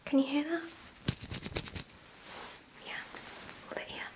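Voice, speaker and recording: A woman speaking in a soft, breathy whisper, gently reassuring and instructing the listener as a nurse in an ASMR medical exam roleplay. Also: slow pace, very noisy. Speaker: ASMR creator playing a nurse.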